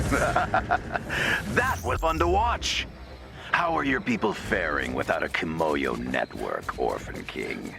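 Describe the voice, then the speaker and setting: An actor playing a smug, greedy arms-dealer villain who drawls mocking taunts in a video game. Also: male voice, very deep voice, very animated, takes it slowly, gravelly, music in the background, heavily acted. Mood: mocking, gloating.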